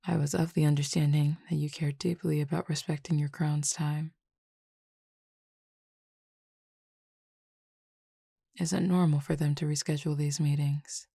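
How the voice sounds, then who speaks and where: Low, husky Cupid roommate, acted by a woman. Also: little pitch movement, no echo, clean studio sound, full character voice. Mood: calm, curious. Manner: formal, measured, softly teasing. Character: calm, teasing supernatural Cupid roommate, an emotion feeder. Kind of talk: scripted roleplay audio drama, intimate.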